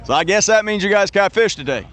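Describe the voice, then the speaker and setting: A man hosting a youth fishing tournament weigh-in outdoors at a lake, announcing loudly in an easygoing, joking way. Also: high-pitched, lively intonation, quick pace. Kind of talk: live event announcing.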